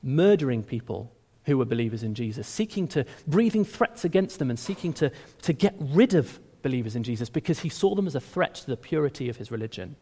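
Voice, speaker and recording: Animated preacher in echoing hall. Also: male voice, mid-range voice, a little fast, few pauses, breathy edge. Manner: animated, conversational, frequent restarts. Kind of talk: sermon. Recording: long hall reverb.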